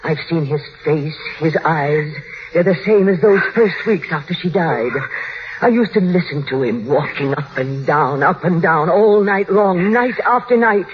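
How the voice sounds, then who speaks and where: An actress playing a menacing, obsessive housekeeper in 1940s radio drama, with a coldly insinuating, formal and hypnotic manner. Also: female voice, on the low side, a little slow, close to an everyday voice. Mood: bitter, obsessive. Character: menacing, obsessive housekeeper urging a young wife to despair. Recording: muffled noisy vintage radio broadcast.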